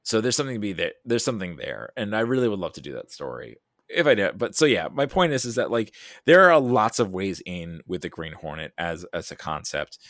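A man chatting casually as a podcast guest, rambling along with animated, emphatic asides. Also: deep voice, no echo, clean studio sound.

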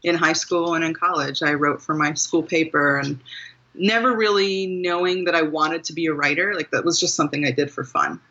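A woman's voice: sits low, fairly quick, bright, forceful tone, dull audio. A woman from Maryland, a guest author, talking thoughtfully and earnestly about her path to writing in an interview, with small laughs and restarts. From Maryland, USA. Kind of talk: reflective interview conversation.

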